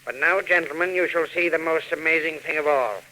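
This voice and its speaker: An actor playing a shrewd, mercenary villain in a 1940s radio drama, speaking in a precise, theatrical, imperious way. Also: male voice, fairly high pitch, a little fast, pushed and bright. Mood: proud, showy. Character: shrewd, mercenary male villain selling a stolen robot. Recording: noisy old 1940s radio recording.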